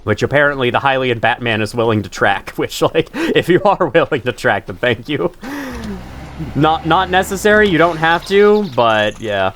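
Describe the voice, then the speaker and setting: A young man with a stuffy nose, rambling and swearing casually through relaxed gameplay commentary. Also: fairly low pitch. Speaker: gaming channel host. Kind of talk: casual let's-play commentary.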